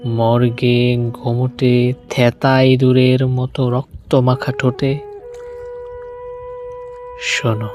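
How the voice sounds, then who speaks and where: A man reciting poetry in a solemn, dramatic style, deliberately, with weighted pauses between short phrases. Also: mid-range voice, monotone, a little mellow. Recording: music bed under the voice. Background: music.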